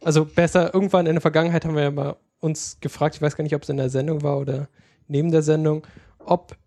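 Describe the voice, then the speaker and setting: A man's voice: on the high side, level tone, quick pace, dark tone, no room sound, studio quality. A man co-hosting a casual podcast who rambles through his stories and laughs often. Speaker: podcast co-host. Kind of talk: casual chat.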